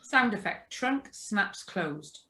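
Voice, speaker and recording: A woman's voice: medium pitch, lively intonation, slow pace, bright, forceful tone. A woman reading stage directions and sound cues from a script in clipped announcements.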